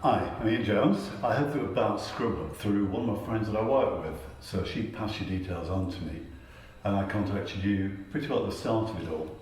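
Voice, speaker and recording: A very echoey recording, made at a distance, of an older man with a low voice. He explains himself informally and in a measured way, as if answering an interviewer. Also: a little mellow. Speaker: tailor being interviewed. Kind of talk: informal personal account to an interviewer. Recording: very echoey, distant mic, faint music.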